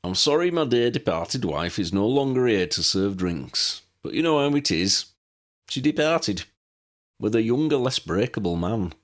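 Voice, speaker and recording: A man's voice: lowish voice, lively intonation, normal speed, voice pushed up, close to an everyday voice. A man voicing an eccentric, chatty old aeroplane pilot in a storybook, jaunty, rambling and folksy.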